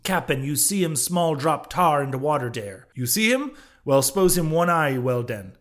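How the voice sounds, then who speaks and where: A man's voice: medium pitch, sing-song, unhurried, darkish tone. A man voicing a bold, terse foreign harpooner in an audiobook, speaking clipped, broken pidgin English. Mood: bold, showing off. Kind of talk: audiobook character voice.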